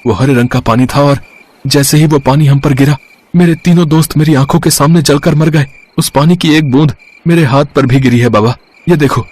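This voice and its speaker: A man playing a brave young villager, earnest and emphatic, in dramatic dialogue for an animated moral story. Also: a little high, normal speed, natural voice. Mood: shaken, distressed.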